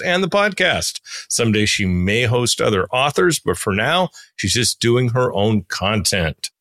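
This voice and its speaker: A man's voice: lowish voice, fairly bright tone. A man hosting a podcast, reading scripted promos and introductions and putting questions to a guest. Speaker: podcast host.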